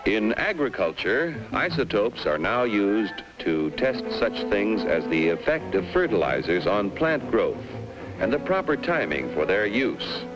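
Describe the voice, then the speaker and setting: A man's voice: on the low side, sing-song, unhurried, music in the background, low-quality recording. An American man reading scripted narration for a 1950s educational film in a formal, dramatically emphatic manner, on a vintage film soundtrack.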